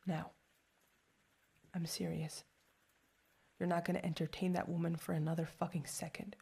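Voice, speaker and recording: A woman's voice: fairly low pitch, little pitch movement, normal speed, vocal fry, a little mellow, slightly rough, heavily acted. A young woman playing a protective girlfriend who is furious and hurt, mostly whispering with stumbling, intense outbursts in an ASMR roleplay. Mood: hurt, loving.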